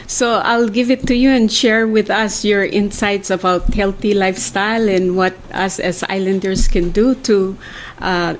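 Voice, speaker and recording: A woman hosting a talk show, introducing her guest in a soft, polite way. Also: medium pitch, slight background noise, low-quality recording. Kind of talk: formal introduction.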